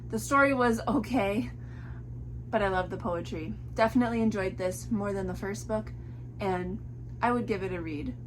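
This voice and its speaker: A woman's voice: mid-range voice, normal speed. Young woman, casual book vlogger, cold in her voice, chatty and filler-heavy; noisy recording with hum. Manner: chatty, rambling with many fillers. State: fighting a cold. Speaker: book reviewer. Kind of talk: casual book review vlog.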